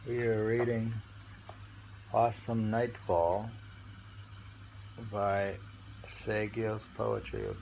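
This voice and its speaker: A man reading poetry aloud and discussing it informally, in an unrehearsed, halting way. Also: lowish voice, little pitch movement, vocal fry, darkish tone, low-quality recording. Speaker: poetry reader and host. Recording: faint background ambient noise.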